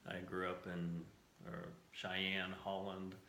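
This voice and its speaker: A man's voice: sits low, completely flat delivery, takes it slowly, pushed and bright. An American man talking casually and plainly, in a conversational interview style. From United States, moved around before settling in Southern Ohio. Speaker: house-hunting show applicant. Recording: echoey room with faint background noise.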